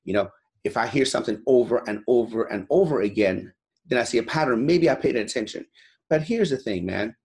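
A fired-up man in his forties, originally from Iran, talking blunt and profane as an interview guest. His voice sits at an ordinary middle pitch, and he keeps circling back with "you know" as he pushes his point hard. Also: lively intonation, fairly quick, a little mellow. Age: 40s. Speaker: guest, gym owner. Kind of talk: motivational interview talk.

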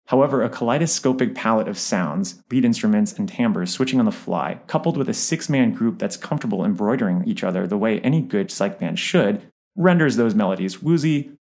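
Man reading a music review, slightly creaky voice. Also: lowish voice, darkish tone. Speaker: reader of an album review. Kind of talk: scripted narration of a music review.

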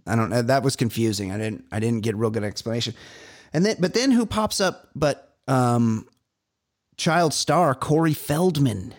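Rambling, animated male podcast co-host. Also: medium pitch, normal speed. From California. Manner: rambling, animated storytelling with many fillers. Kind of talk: casual chat.

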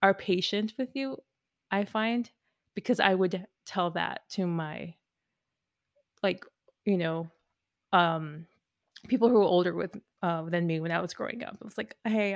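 Lower-voiced woman, bit of fry, chatty and rambly, spanish was her first language. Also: unhurried. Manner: rambling, many "like"s. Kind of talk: casual group chat.

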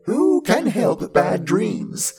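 A man voicing a wily old grandmother in a storybook tale, creaky, coaxing and drawing out the words. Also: sits high, very animated, extremely slow, darkish tone, soft background music, pitched above the performer's own voice, full character voice. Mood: coaxing, sly. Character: devil's old grandmother, wily, soothing, scolding old woman.

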